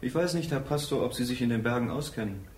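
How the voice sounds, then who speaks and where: An actor in a radio drama playing a dead soldier who bitterly recounts his end in terse, halting fragments. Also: mid-range voice, animated, darkish tone, close to an everyday voice. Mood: grim, matter-of-fact. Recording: roomy echo with faint noise.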